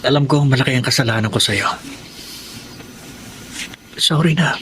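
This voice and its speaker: A man's voice: mid-range voice, moderate pace, a bit bright, slight background noise, natural voice. A man playing a philandering husband in a radio drama, coaxing in a conversational but melodramatic way. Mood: pleading, concerned. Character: philandering husband, pleading yet smug and teasing. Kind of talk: Tagalog radio drama acting.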